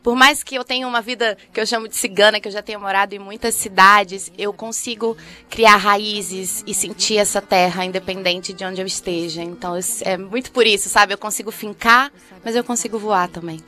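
A woman's voice: mid-range voice, steady tone, fairly quick, few pauses, faint music behind. A woman from Alagoas, a singer-songwriter, answering reflectively as a guest in a live radio studio interview. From Alagoas, Brazil. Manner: warm, reflective, speaks of her roots. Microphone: studio microphone.

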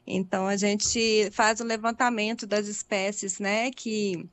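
A woman's voice: mid-range voice, fairly even intonation, a little slow, no room sound. A middle-aged woman from Belo Horizonte with a Minas Gerais accent, a butterfly researcher patiently explaining her work in an interview and often ending phrases with a tag question. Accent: Minas Gerais Portuguese. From Belo Horizonte, Brazil. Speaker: butterfly researcher and project coordinator.

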